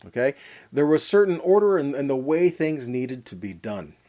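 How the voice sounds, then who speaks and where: A man teaches from scripture in a soft-edged, mellow voice. His pitch moves up and down in an animated way. He explains step by step, asking questions and then answering them himself. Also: normal speed. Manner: didactic, asks and answers own questions, checks in with "okay". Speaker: teacher of a weekly Torah portion.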